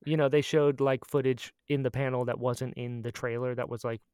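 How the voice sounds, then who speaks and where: A podcast co-host of ambiguous-sounding sex with a medium-pitched voice, recorded close and dry. The delivery is casual and gushing, full of a fan's enthusiasm, with phrases repeated for emphasis. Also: flat delivery, fairly quick, a little mellow, clean tone, no echo. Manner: acts out quoted exchanges in storytelling. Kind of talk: casual chatty podcast catch-up.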